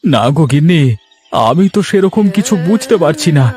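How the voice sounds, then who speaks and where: A man's voice: on the high side, sing-song, normal speed, darkish tone. A man playing an anxious, wronged village fish merchant in a cartoon, with a pleading, plaintive manner. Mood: troubled. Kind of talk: Bengali cartoon story acting.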